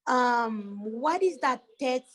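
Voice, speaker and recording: A woman with a Haitian accent and a slightly high voice speaks as a parent at a public question session. Creole is her first language, and her phrasing is casual and conversational. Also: animated, slow, fairly bright tone.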